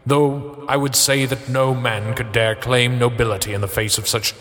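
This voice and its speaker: A man voicing a menacing, courtly usurped king who flatters in a smooth, cooing way. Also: mid-range voice, normal speed, in a large hall, close to an everyday voice.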